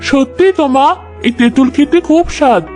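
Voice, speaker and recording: A woman's voice: sits high, a little fast, warm tone, soft background music, pitched above the performer's own voice, lightly acted. A woman voicing a sweet, earnest, kind-hearted village daughter in a children's cartoon. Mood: delighted. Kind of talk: Bengali children's cartoon dubbing.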